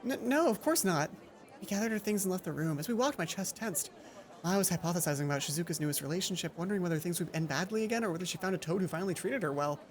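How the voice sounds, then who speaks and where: A woman let's play host reading a visual novel aloud in an expressive storytelling way, with casual asides. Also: on the low side, rapid-fire, some chatter behind. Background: game music.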